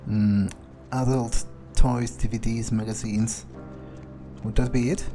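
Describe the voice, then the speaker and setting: A gruff, sardonic vampire vigilante, voiced by a male gamer in a video game playthrough. He talks slowly in a dark, relaxed voice, muttering and hesitating, with faint music behind him. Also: mid-range voice, lightly acted. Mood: musing. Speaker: role-playing gamer. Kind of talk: in-character game playthrough, thinking aloud.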